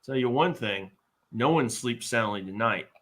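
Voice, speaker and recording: A man's voice: mid-range voice, animated, moderate pace, fairly bright tone. A man playing a jittery, boastful, bumbling would-be warrior in a comic live table read. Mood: nervous bluster. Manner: blustering, nervous, exaggerated.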